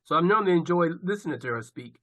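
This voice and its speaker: A man with a slightly high voice introduces the next speaker in an approving tone. The sound is dry and close, with no room echo. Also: lively intonation, racing. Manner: warm and approving, with "uh" fillers. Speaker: college dean introducing a speaker.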